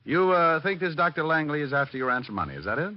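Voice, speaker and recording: An actor playing a wisecracking, flirtatious private detective with an American accent and breezy, sarcastic delivery in golden-age radio style. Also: male voice, on the high side, big pitch swings, fast talker, no room sound. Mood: glib, flirtatious. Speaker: lead actor and narrator of detective radio drama. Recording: muffled old radio recording.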